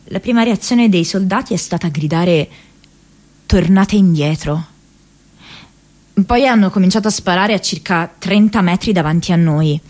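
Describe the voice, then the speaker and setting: An actress playing an earnest, haunted young American woman activist in an intimate radio drama monologue, speaking haltingly with hesitant pauses and repeated words. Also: fairly low pitch, a little mellow, natural voice. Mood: tense recounting. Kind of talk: intimate radio drama monologue, diary read as spoken memories.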